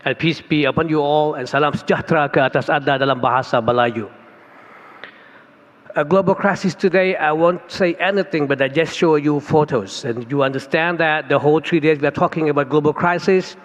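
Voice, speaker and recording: A man's voice: fairly high pitch, brisk. A man from Thailand with a Thai accent, speaking English as a second language and giving an earnest conference keynote speech that builds to emphatic stress. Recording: reverberant hall, dull lo-fi sound.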